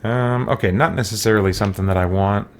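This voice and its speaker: A man's voice: lowish voice, fast. A man hosting a let's play, thinking aloud casually and playfully as he works through a game.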